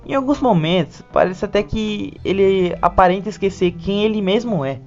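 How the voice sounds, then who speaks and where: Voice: mid-range voice, animated, normal speed, a little mellow. A narrator with a Brazilian Portuguese accent delivering a scripted video essay with informal touches. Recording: dull lo-fi sound, music behind. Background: background music.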